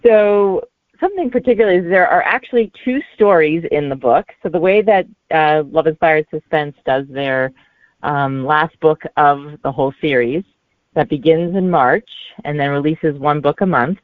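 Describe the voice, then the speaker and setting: A woman in her forties answering casually as a guest author in an interview, with a halting, hesitant delivery. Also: low-pitched, no room sound. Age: 40s. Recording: narrow, tinny phone-like line.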